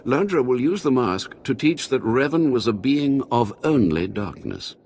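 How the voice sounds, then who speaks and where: An actor playing a devout, shrewd cult scholar in a video game, measured and quietly conspiratorial as he persuades. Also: medium pitch, lively intonation, slight background noise, lightly acted. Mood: persuasive. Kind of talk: video game cutscene acting.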